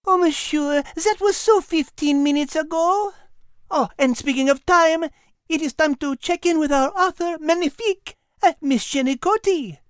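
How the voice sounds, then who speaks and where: Woman voicing a high-pitched, dainty French cat lady. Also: normal speed, no room sound, studio quality, close to an everyday voice. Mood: playful. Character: dainty, orderly, clever female French cat. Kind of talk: character acting for a children's story podcast.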